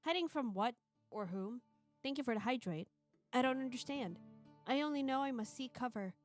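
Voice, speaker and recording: Woman streamer, fairly high voice, narrates a visual novel with casual asides, faint music underneath. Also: lively intonation, normal speed.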